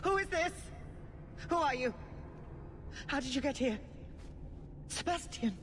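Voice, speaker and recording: A woman's voice: high voice, lively intonation, unhurried, fairly bright tone, slight background noise. A woman playing a stern, commanding lady in a fantasy video game, speaking with grave intensity. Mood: scheming, alarmed.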